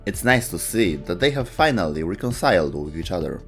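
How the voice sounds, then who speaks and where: A man narrates a scripted video essay in a fairly low voice. His intonation moves in a lively way, and faint music plays underneath. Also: a little fast.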